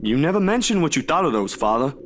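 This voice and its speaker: Lively, easygoing young man. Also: a little high, quick pace, a little hiss or hum. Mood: concerned. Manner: teasing, casual. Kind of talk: visual novel voice acting.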